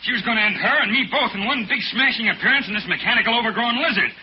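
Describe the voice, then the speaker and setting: An actor playing a brash, excitable working-class limousine driver in 1940s radio style, blurting streetwise slang. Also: male voice, extremely high pitch, very fast. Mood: excited, indignant. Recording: muffled 1940s radio, faint noise.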